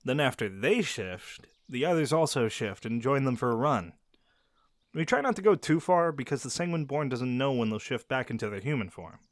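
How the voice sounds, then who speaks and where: An actor playing a friendly young male werewolf who shows a silent listener around his pack's home, easygoing and quick to laugh. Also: mid-range voice, no echo, natural voice. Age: young adult. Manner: easygoing, laughs often, answers unheard questions. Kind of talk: audio roleplay to a silent listener. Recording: dry close recording.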